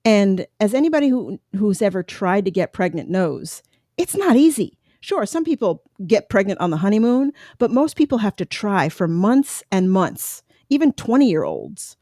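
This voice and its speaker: Woman in her 40s telling her story, lots of um and like, dark voice, dry close sound. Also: medium pitch, very animated, moderate pace, no echo. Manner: candid, reflective, many fillers and restarts. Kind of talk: personal storytelling monologue.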